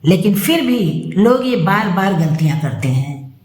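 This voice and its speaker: A woman narrating a moral story as a storyteller, with measured, deliberate phrasing. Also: medium pitch, very animated, a little mellow. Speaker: storyteller reading own moral stories. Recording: roomy, echoing room sound.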